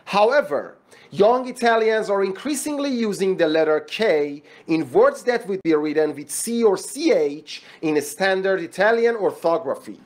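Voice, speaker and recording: A narrator reading short formal passages aloud for an English exam lesson, with measured, careful enunciation. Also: mid-range voice, expressive, a little mellow. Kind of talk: scripted read-aloud of exam practice passages.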